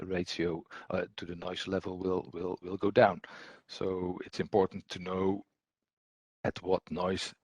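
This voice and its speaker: Low-voiced man, halting technical presenter. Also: creaky, breathy edge, dry recording. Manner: hesitant, halting delivery with repeated words. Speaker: technical presenter, audio trainer. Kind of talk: explanatory slide presentation, unscripted.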